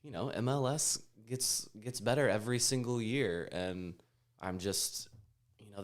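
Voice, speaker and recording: An American man speaking casually as a panelist in a sports discussion. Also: fairly low pitch. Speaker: podcast panelist.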